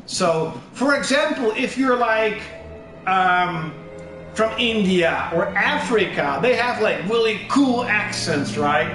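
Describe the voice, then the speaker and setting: A man's voice: high-pitched, animated, slow pace. A young Dutch man with a Dutch accent, rambling in a casual personal monologue and swearing often, with many fillers and restarts. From Netherlands. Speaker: gaming channel host. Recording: roomy echo with music underneath.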